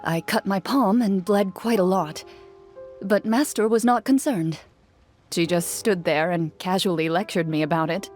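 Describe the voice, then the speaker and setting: A woman voicing a calm, reserved young duelist in an anime-style game, speaking formally and matter-of-factly. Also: medium pitch, measured pace, faint music behind, close to an everyday voice.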